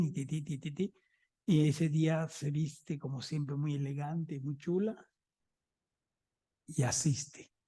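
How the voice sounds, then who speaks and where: A man's voice: a little high, mellow. Chatty Mexican man telling museum stories. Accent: Mexican Spanish. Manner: chatty, anecdotal, keeps checking in with the audience. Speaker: museum director giving a talk. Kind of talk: conference talk.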